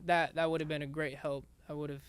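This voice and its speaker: Soft-spoken young man, high clear voice. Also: flat delivery. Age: young adult. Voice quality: raspy singing voice. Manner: soft-spoken, halting, many restarts. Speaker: rap artist guest. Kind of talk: casual interview chat.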